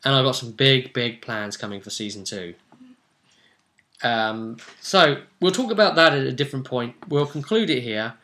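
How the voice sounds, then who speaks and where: A man with a bright, forceful voice talks as a game master and host. His pitch sits in the middle, and he gives a casual recap of a role-playing campaign. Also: normal speed.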